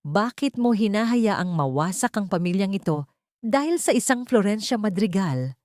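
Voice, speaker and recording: Audio drama villain: woman playing ruthless older matriarch, domineering, scornful, very animated delivery. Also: medium pitch, normal speed, no echo, studio quality, lightly acted. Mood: scornful, angry. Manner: scolding, commanding. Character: fierce, domineering older matriarch, cruel and scheming.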